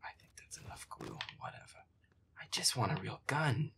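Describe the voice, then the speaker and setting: A man's voice: medium pitch, animated, moderate pace, gravelly, bright, forceful tone, in a whisper. A man playing a feisty, irritable werewolf boyfriend, muttering sarcastic, sweary commentary over a horror game. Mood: exasperated.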